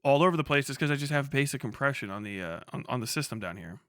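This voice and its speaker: A man's voice: mid-range voice, lively intonation, fast, fairly bright tone, clear, resonant tone, dry recording, clean studio sound. A man hosting a livestream with casual, slangy, profanity-laden commentary. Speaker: livestream host.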